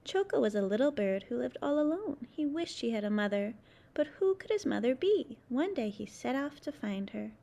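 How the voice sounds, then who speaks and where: A woman narrating a children's storybook read-aloud in an expressive way, addressing young listeners. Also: a little high, moderate pace, creaky.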